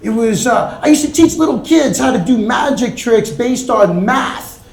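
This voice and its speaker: A young man from Miami doing a stand-up set on a comedy club stage, with a deadpan, offhand delivery and quick asides. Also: very high voice, gravelly. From Miami, Florida. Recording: roomy club with crowd laughter. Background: audience laughter.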